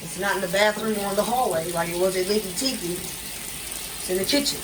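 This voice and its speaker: A man's voice: high voice, brisk. Rambling man over running water. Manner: rambling, hesitant, lots of fillers and restarts. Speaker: vlogger. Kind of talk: casual home walkthrough vlog. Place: indoor condo kitchen by a running washer. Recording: noisy with running water and appliance sounds.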